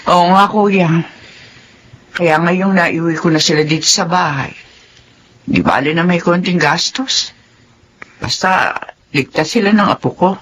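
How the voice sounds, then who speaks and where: An actress playing an indignant middle-aged Filipino mother and grandmother in a radio drama, husky and scolding. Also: fairly low pitch, a little slow, pauses often, a bit bright, slight background noise. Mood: disapproving.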